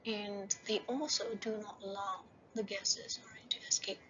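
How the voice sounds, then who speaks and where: A woman lecturing over slides for a university class, often checking in with "right?" and slowing down to read slide headings. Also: medium pitch, fairly even intonation, bright, forceful tone. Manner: repeats "right?" and "okay?" as checks. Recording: dull lo-fi voice-over with faint hiss.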